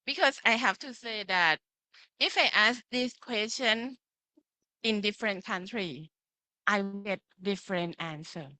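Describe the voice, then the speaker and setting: A woman's voice: medium pitch, unhurried, sharp tone, dry recording, rough recording. A woman from Thailand with a Thai accent, lecturing to students in simple phrases and often checking that they follow. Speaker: pharmacy lecturer. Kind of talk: interactive online lecture with slides.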